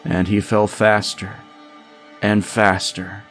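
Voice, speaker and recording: A man narrating a scripted comic story in an animated, dramatic storytelling manner. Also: on the low side, slow pace, soft background music. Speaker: narrator and writer of a comedy story podcast.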